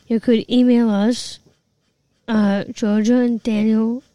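A woman co-hosting a casual podcast, relaxed and giggly as she reads out contact details. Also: fairly high pitch, slow pace, dry recording. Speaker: podcast co-host.

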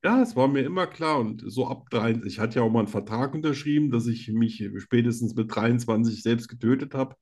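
Husky man in his fifties, joking. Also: mid-range voice, fairly quick, few pauses, a little mellow. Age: 50s. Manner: joking, rambling, teasing. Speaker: podcast co-host. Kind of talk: casual chat.

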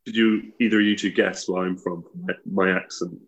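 A man's voice: low voice, fairly even intonation, a little fast, husky, highs cut off. A man from the Welsh border with a Midlands English accent, a podcast guest talking casually and self-deprecatingly with many fillers. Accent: Herefordshire, West Midlands English. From England, Welsh border near Hereford. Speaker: podcast guest, tattoo artist.

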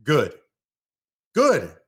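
A man's voice: a little high, wildly swooping pitch, extremely slow, a bit bright, slightly rough. An American man from California hosting a live political commentary show, ranting in heated, punchy bursts of repetition.

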